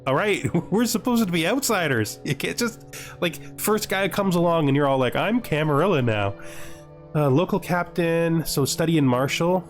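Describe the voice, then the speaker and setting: A man's voice: fairly high pitch, expressive, moderate pace, faint music behind. A man giving casual gameplay commentary, reading game text aloud and reacting with dry, offhand humour. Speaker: gaming video host.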